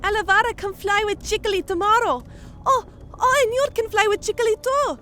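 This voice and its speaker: A woman voicing an excitable, childlike male harpy who speaks in broken, simple third-person sentences in a fantasy audio story. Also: very high voice, fairly quick, soft background music. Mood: excited, eager.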